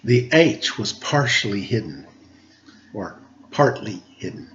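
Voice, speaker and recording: A man's voice: on the low side, big pitch swings, slow, a bit bright, highs cut off, a little hiss or hum. A man doing a picture book read-aloud as a storyteller, playful and informal, with a touch of theatrical announcing. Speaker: storyteller, teacher.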